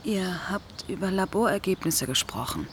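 A woman's voice: on the low side, lively intonation, normal speed, a little hiss or hum, close to an everyday voice. A woman playing a cool, probing amateur sleuth, terse and inquisitive, in a radio crime drama. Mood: gentle probing.

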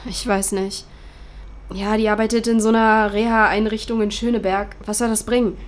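A young actress playing a grieving, searching daughter in a naturalistic audio drama, talking colloquially and insistently. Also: female voice, mid-range voice, level tone, moderate pace, close to an everyday voice. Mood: doubtful. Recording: clear background ambience noise.

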